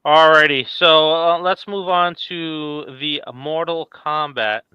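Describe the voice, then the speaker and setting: A man with a smooth, clear, medium-pitched voice hosts a casual podcast. The recording is dry and a little lo-fi. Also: steady tone, takes it slowly, no echo. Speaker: main host of a movie podcast. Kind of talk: casual live podcast hosting.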